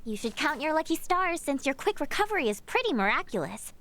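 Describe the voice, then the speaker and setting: Spirited young heroine, very high voice, swooping intonation, teasing, video game character. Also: female voice, very animated, a little fast, fairly bright tone, heavily acted. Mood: relieved.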